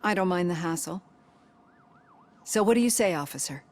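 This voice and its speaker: A polite but persistent female psychologist played by an actress, with a fairly low voice. Her delivery is measured and professional, in video game style. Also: lively intonation, lightly acted. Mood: polite, earnest.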